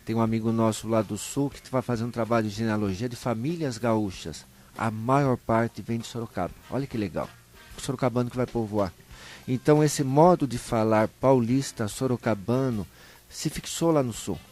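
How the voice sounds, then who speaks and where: A man with a São Paulo countryside Brazilian accent, telling stories in a colloquial, animated way as a guest in a live interview. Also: medium pitch, moderate pace. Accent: Brazilian Portuguese, São Paulo interior. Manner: animated storyteller, acts out short dialogues, colloquial. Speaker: interview guest, local historian.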